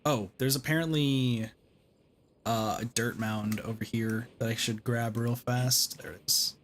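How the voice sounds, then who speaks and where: A man's voice: mid-range voice, fairly even intonation, a little slow, a little hiss or hum. A man giving casual, reflective livestream commentary on a game in an informal way. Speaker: game streamer. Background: faint game music.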